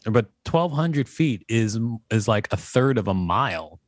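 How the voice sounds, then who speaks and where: A man's voice: on the low side, lively intonation, measured pace, dry recording. A man co-hosting a podcast with casual, conversational commentary. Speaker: podcast co-host. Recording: music behind the voice. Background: music.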